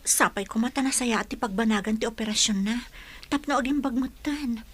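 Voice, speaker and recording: A woman's voice: on the high side, brisk, breathy edge. A woman playing a caring, thoughtful teacher and friend in a radio drama, with an earnest manner. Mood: concerned, reflective. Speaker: voice actor.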